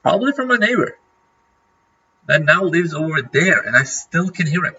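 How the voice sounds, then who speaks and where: A man's voice: a little high, big pitch swings, moderate pace, pushed and bright, husky, lo-fi sound. A man from Norway, a native Norwegian speaker, chatting casually on a livestream and rambling with lots of fillers and restarts. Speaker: streamer.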